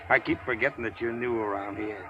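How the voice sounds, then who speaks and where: An actor playing a chatty, easygoing small-time crook in a 1940s radio crime drama. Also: male voice, mid-range voice, moderate pace, dull audio, lightly acted. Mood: cynical, amused. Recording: old radio broadcast, music behind.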